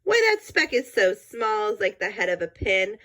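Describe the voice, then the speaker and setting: A woman's voice: medium pitch, very animated, moderate pace, a bit bright. A woman reading as a sour, scornful mother kangaroo in a storybook, huffing and dismissive.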